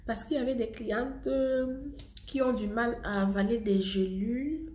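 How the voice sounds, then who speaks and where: A woman's voice: on the high side, a little slow, a little mellow, reverberant. A woman with a head cold chatting to her subscribers as she presents a cosmetics product, repeating herself and laughing often. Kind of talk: casual product vlog to subscribers.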